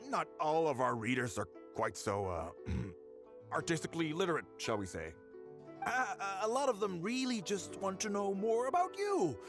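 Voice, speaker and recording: An excitable, scheming male editor from a video game, with pitch that leaps up and down. He blusters, hems and flatters to get his way. Music runs underneath. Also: heavily acted. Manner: blustering, ingratiating, hesitant hemming. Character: pushy, fawning editor-in-chief, scheming and excitable.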